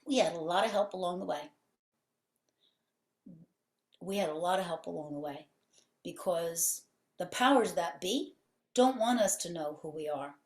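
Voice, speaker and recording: A woman's voice: fairly low pitch, normal speed. Fervent woman in a roomy space. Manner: fervent and emphatic, piling up repeated phrases. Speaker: podcast guest, healing center owner. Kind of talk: conversational interview. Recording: roomy echo.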